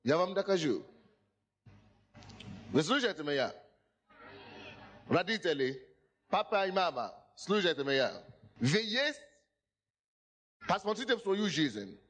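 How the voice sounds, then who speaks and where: Lively high-voiced preacher exhorting a congregation. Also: male voice, unhurried, pauses often. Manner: exhorting, repeats phrases, often asks the listeners if they hear him; slightly broken non-native phrasing. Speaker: pastor. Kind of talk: sermon. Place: in front of a congregation.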